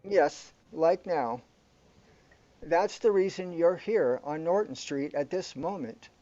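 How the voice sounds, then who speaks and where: An actor playing a calm, all-knowing guide in a surreal sci-fi radio drama, explaining things in a formal, matter-of-fact way. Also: male voice, fairly high pitch, slow pace, highs cut off. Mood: calmly explaining.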